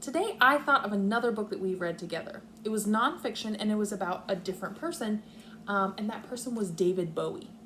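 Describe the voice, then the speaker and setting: A woman teacher with a lively, varied pitch leads a read-aloud lesson for young children. She explains her thinking aloud step by step. There is some faint background noise on the recording. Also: brisk. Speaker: kindergarten teacher.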